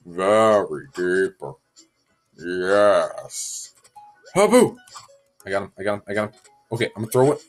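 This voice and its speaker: A young man giving goofy, self-mocking commentary while playing a game, sick and sounding deeper than usual. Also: animated, measured pace, pauses often, soft background music. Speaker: gamer. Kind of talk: casual gameplay commentary.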